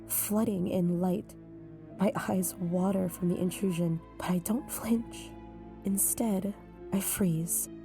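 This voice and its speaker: A woman giving measured, brooding first-person narration in an audio drama. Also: fairly low pitch. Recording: music bed behind.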